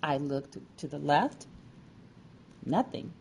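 A woman narrating a personal story from a script, with deliberate, dramatic phrasing. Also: low-pitched, animated, creaky, darkish tone, slightly rough. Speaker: featured storyteller.

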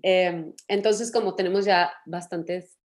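A woman, co-hosting a podcast in casual chat and telling stories in an animated way. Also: fairly low pitch, measured pace. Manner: animated storytelling, laughs often. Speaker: podcast co-host.

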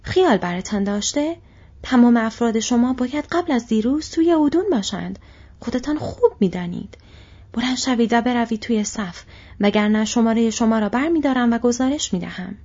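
A woman voicing a brutal male orc slave-driver who shouts threats and taunts, with a husky voice, on a slightly dull recording. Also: fairly high pitch, lively intonation, voice pushed up. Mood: barking orders.

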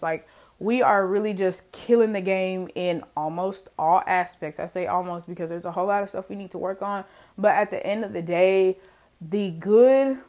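A woman's voice: medium pitch, a little mellow. A young adult woman hosting her own podcast, chatting casually and laughing often as she talks to listeners. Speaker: podcast host. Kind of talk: casual solo podcast chat.

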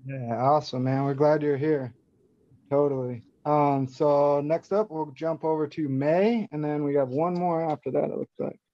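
A man's voice: on the high side, steady tone, moderate pace. A man hosting a group call, introducing guests in a friendly, upbeat way. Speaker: host. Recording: dull lo-fi online call audio.

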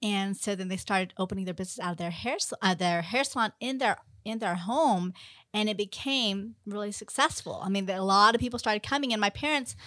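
A woman from the United States, a podcast guest telling stories in an animated, chatty way, laughing often and drifting into tangents. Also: medium pitch, a little fast, no echo. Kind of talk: casual storytelling interview.

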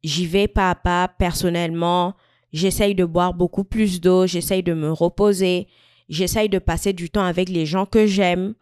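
A woman in her mid-twenties from Equatorial Guinea, talking thoughtfully to camera in a personal vlog, with frequent pauses and restarts. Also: on the low side, fairly even intonation, a little mellow, clean tone, dry recording, clean studio sound. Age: 20s. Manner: thoughtful, searching, many fillers and restarts. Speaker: vlogger. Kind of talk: reflective personal talk to camera.

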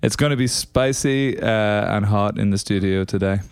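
Male co-host, easygoing and talkative, smooth low voice on a dry studio mic. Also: normal speed, dry recording. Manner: chatty, many "like" fillers. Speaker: podcast co-host. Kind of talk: casual interview chat. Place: podcast studio.

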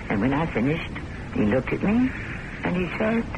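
A woman's voice: deep voice, big pitch swings, moderate pace, vocal fry, muffled recording, exaggerated voice. An actress playing a resolute, accusing woman, dramatic and sarcastic, in vintage radio drama style on a noisy old recording. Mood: bitter, insistent. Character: accusing, resolute woman who worships and defies her boss.

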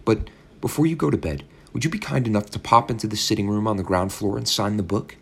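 A man plays an overly sweet landlady who fusses and coos over her young guest. The voice sits well above the reader's own low register and comes a touch louder. It has a storybook-reading feel. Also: quick pace, vocal fry, husky, full character voice. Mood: cooing. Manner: fussy, cooing and gushing. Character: overly sweet, slightly odd older landlady.

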